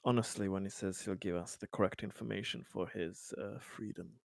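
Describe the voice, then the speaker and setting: A man playing a tabletop roleplaying game, improvising casually with hesitant, deliberate phrasing. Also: on the low side, steady tone, gravelly, no echo.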